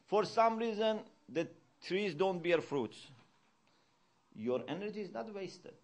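Earnest man lecturing, fairly high voice. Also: animated. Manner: earnest teaching tone, Arabic verses quoted quickly, swells louder for emphasis. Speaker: Islamic lecturer. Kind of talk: religious lecture. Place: mosque lecture hall.

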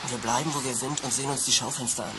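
Actor voicing a practical boy, calm tone, heavy hiss. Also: medium pitch, racing, close to an everyday voice. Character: level-headed boy with a pet gibbon.

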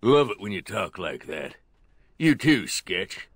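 Slow, swooping lecherous dwarf. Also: male voice, lowish voice, very animated, slightly rough, no room sound, studio quality, heavily acted. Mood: leering. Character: crude, lecherous, cheeky male dwarf rogue. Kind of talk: video game voice acting.

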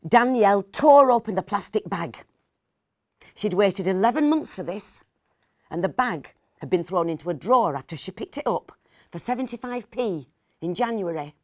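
A woman in her fifties reading a story aloud dramatically, with pauses and hushed asides. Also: mid-range voice, lively intonation, no echo, studio quality. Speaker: actor reading a short story.

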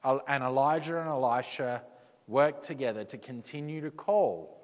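A man preaching a sermon in a church, slow and deliberate, with dramatic pauses and quotes he acts out. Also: mid-range voice. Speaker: pastor. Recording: reverberant church hall.

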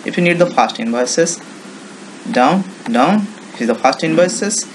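A man with an Indian accent giving an informal, unscripted software tutorial in halting English with non-native phrasing. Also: on the high side, lively intonation, slight background noise, low-quality recording. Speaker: software demonstrator.

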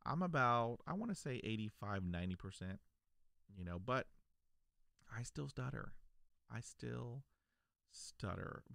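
A man in his forties who stutters, talking earnestly and encouragingly to camera from a home podcast studio on a boom mic. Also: expressive, measured pace, gravelly, pauses often, a bit bright, no echo. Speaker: vlogger, podcast host. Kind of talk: personal talk to camera. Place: home studio room, indoors. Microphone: large podcast mic on a boom arm.

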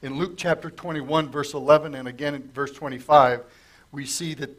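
A middle-aged man preaches from a lectern in a church sanctuary, asking the congregation questions as he works through scripture. His voice sits a little higher than most men's. He is losing it, so it comes out strained in places. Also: steady tone, normal speed. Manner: conversational, asks the congregation questions. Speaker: pastor. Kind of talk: sermon, verse-by-verse Bible teaching.

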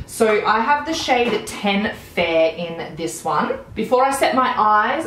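A woman's voice: medium pitch, a little hiss or hum. Chatty Australian woman in a roomy space. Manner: chatty, enthusiastic product commentary while applying makeup. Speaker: beauty vlogger. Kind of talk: makeup tutorial chat. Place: small room at home, indoors.

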